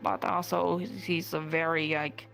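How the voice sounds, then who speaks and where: A woman's voice: deep voice, steady tone, a little slow, creaky, a bit bright, faint music behind, heavily acted. A woman playing an earnest, naive young woman in improvised fantasy roleplay, talking hesitantly and informally, often trailing off. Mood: pensive.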